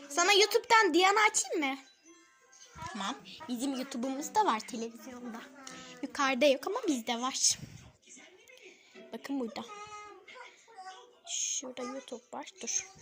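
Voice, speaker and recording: Casting: female family vlogger, notably high voice, informal chatty delivery to camera and child, music clearly in background. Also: a bit bright. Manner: chatty, addressing viewers and a small child in turn. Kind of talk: casual home vlog chat. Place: at home, in front of a television.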